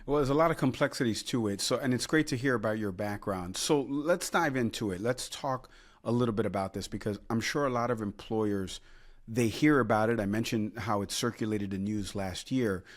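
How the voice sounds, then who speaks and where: A man with a fairly low voice hosts an interview podcast. He speaks in an easy, conversational way, with casual fillers as he leads into each question. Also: a little fast. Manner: friendly and conversational, uses "you know" and "like". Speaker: podcast host.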